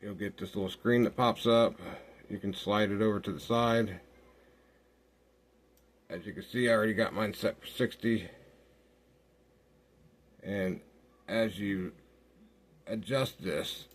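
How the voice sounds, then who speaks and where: A man giving an informal software walkthrough, thinking aloud in a halting way as he works. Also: on the low side. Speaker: software tutorial host. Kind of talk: informal screen-recorded walkthrough.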